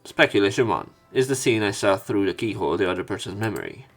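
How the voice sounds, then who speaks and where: A man with a fairly low voice reads a visual novel story aloud in let's play style. His reading is a little halting, with small stumbles and repeated words. Faint music plays behind him. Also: expressive. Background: game music.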